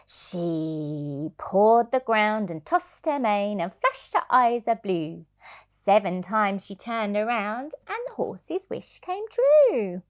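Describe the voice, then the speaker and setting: A woman reading a children's storybook aloud as a childminder, in an expressive, sing-song way. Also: mid-range voice.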